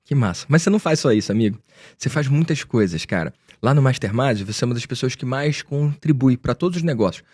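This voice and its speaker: Husky-voiced Brazilian podcast host. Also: male voice, mid-range voice, animated, fast talker, a little mellow, no room sound. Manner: enthusiastic, slangy, friendly. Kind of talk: casual podcast interview.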